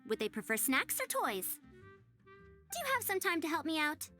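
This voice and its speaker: A woman's voice: sits high, sing-song, moderate pace, bright, forceful tone, soft background music, heavily acted. A woman playing a prim, proud but kindly young-sounding researcher in a video game, speaking formally and articulately in wordy, old-fashioned phrases. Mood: thoughtful, kindly. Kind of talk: scripted video game character dialogue.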